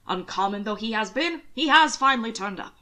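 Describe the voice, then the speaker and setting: A woman voicing an overbearing older uncle in an audiobook story, booming and overly loud. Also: expressive, fairly quick. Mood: grudging approval.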